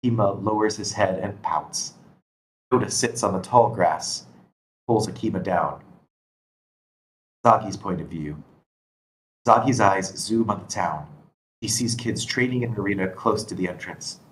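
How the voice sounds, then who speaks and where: A man's voice: lowish voice, unhurried, long pauses, poor audio. A man reading scripted stage directions as narrator at a screenplay table read. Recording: constant background noise.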